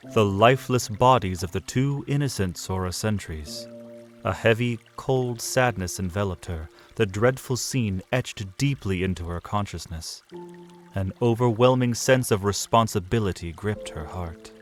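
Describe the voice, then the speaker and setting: A man narrating an audio drama in a measured storytelling manner. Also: lowish voice, faint music behind. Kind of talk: scripted narration.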